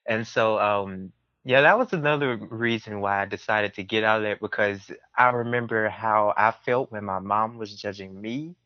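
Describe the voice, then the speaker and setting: A man's voice: fairly low pitch, moderate pace, dry recording, lo-fi sound. A man, telling personal stories casually as an interview guest. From southern United States. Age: early 20s. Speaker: podcast guest.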